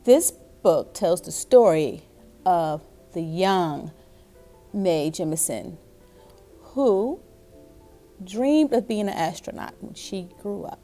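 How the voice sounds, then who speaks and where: A woman reading a children's story aloud in an expressive way, speaking directly to young listeners. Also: mid-range voice, drawn-out words, pauses often, faint music behind. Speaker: story time reader. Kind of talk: reading a children's picture book aloud with asides.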